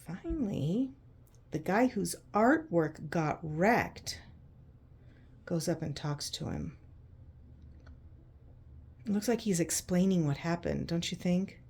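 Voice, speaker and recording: A woman narrating a picture book to children in an expressive, conversational way, using simple explanations and checking in with questions. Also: lowish voice, slow pace, pauses often, husky. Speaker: children's read-aloud host.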